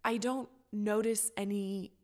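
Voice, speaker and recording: A woman hosting a podcast, chatty and asking questions from her own experience, with her voice going hoarse. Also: mid-range voice, steady tone, takes it slowly, a little mellow. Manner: chatty, asks personal questions. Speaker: podcast host. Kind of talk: casual interview chat.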